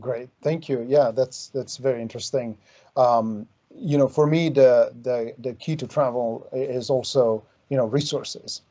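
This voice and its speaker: A man from Romania hosting a conversation, his voice mellow and dark. He speaks reflectively, with lots of ums and hedges. The video call audio is dull and muffled. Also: medium pitch, steady tone, a little slow. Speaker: interview host. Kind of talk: conversational interviewing.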